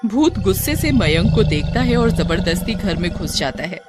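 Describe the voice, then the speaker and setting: Female narrator, scripted cartoon horror tale. Medium pitch, storytelling delivery, clear music bed underneath. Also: very animated, moderate pace.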